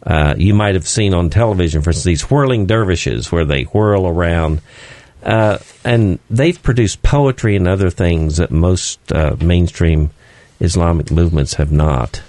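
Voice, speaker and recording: A man with a deep, low voice talks as a guest in a radio studio. He explains at an unhurried pace, pausing often on fillers as he searches for words. The sound is close and dry. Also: mellow, dry recording. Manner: slow, halting, many "uh" fillers.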